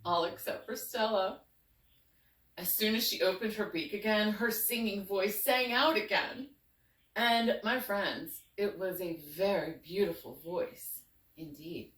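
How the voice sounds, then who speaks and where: A woman speaks slowly and earnestly, with expressive, thoughtful pauses. She sounds like a storyteller opening up. The recording is echoey and a little distant from the mic, with some noise behind her. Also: medium pitch. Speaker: fairy tale writer and storyteller. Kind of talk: heartfelt personal talk, then storybook reading.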